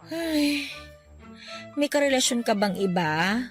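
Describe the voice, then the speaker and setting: A woman's voice: high-pitched, lively intonation, takes it slowly. An actress playing a persistent, playful young mother in a radio drama, nagging and coquettish, with music underneath. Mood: jealous, hurt. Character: persistent, teasing young mother in love with best friend.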